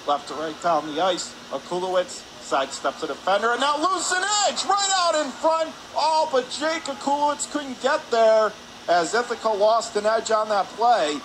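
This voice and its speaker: A high-voiced man does live radio play-by-play of a hockey game. His delivery is excitable and climbs whenever there is a shot or save. Clear arena noise runs behind him. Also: moderate pace. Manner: excitable, voice rising on shots and saves. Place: indoor ice hockey arena. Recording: noisy rink ambience behind the voice.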